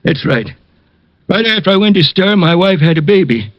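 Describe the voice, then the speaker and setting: A frightened old ex-con. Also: male voice, high voice, lively intonation, moderate pace. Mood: confessing. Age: older. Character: frightened aging ex-con informant, pleading. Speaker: old informant. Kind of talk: 1950s radio drama acting. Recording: dull old radio transcription.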